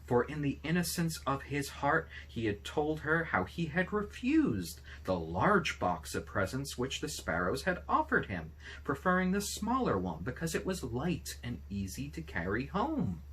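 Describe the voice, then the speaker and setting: A man reading a fairy tale aloud as an expressive narrator. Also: medium pitch, a little slow, hardly any pauses, a little hiss or hum, rough recording. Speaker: storybook reader.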